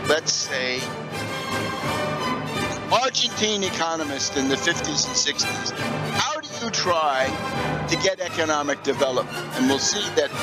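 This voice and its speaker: A man delivering political and economic commentary as a radio host, lecturing and putting rhetorical questions to the listener. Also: fairly high pitch. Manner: lecturing, didactic, with rhetorical questions and check-ins.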